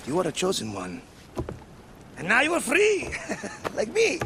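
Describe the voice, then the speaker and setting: High-voiced, hearty sea captain. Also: male voice, huge pitch swings, a little slow, vocal fry, exaggerated voice. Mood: exultant. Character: boisterous, hearty male ship's captain. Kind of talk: dramatic video game acting. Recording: loud sea and boat noise.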